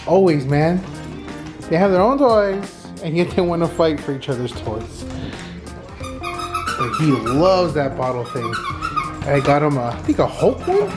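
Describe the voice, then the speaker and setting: A man's voice: a little high, wildly swooping pitch, unhurried, long pauses. Casual man vlogging over background music. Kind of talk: casual home vlog chat.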